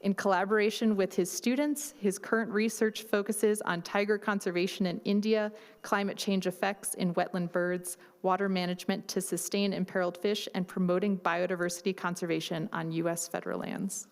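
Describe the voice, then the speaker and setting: A woman moderating a symposium, reading a formal speaker introduction in a measured way. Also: mid-range voice, steady tone. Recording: long hall reverb.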